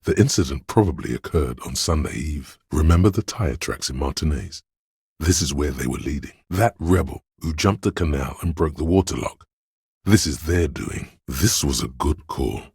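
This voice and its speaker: A man voicing a detective's coolly observant inner voice of visual reasoning in a video game, narrating in a measured, clinical, matter-of-fact way with a deep, gravelly tone. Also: animated, darkish tone, no echo, clean studio sound, heavily acted. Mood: analytical. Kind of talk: scripted second-person game narration.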